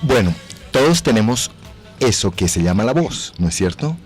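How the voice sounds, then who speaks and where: A Colombian man gives explanatory answers in a radio booth. His voice is a bit low, and his delivery is courteous and instructive. Faint music sits behind him. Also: sing-song, moderate pace. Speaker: actor, voice trainer, guest. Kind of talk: explanatory interview talk.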